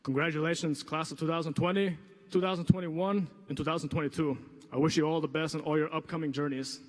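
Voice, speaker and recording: A man's voice: fairly high pitch, steady tone, quick pace. A male student speaker giving a rousing graduation speech, rallying his classmates with enthusiasm. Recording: reverberant hall.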